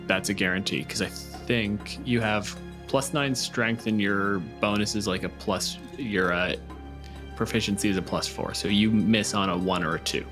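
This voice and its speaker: A man's voice: fairly low pitch, fairly even intonation, normal speed, over music. A man acting as game master in a tabletop roleplaying game, talking casually with some swearing.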